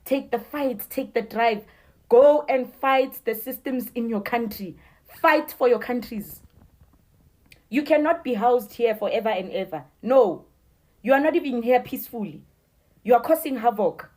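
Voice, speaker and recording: A woman's voice: on the high side, darkish tone. A South African woman giving an emphatic opinion monologue in short, declarative sentences. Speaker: commentator.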